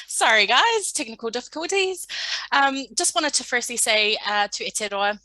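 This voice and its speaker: A woman's voice: a little high, expressive, normal speed, no room sound, rough recording. A woman, a native Samoan speaker, interviewing a guest over a video call and cheering her on. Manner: warm and encouraging, cheers the guest on. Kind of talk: interview questions.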